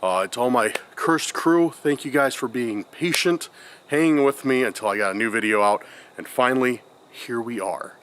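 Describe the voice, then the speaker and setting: A man's voice: mid-range voice, moderate pace, fairly bright tone. A man with a congested voice, talking casually to his viewers outdoors in a forest, rambling with many fillers and restarts. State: congested from a cold. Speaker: paranormal vlogger. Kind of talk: casual vlog talk to camera.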